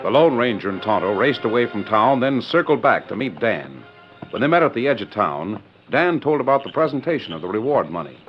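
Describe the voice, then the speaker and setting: Male western adventure narrator, mid-pitched, dramatic, scripted, loud music behind, old radio. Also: big pitch swings, fairly quick, close to an everyday voice. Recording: lo-fi 1940s radio recording.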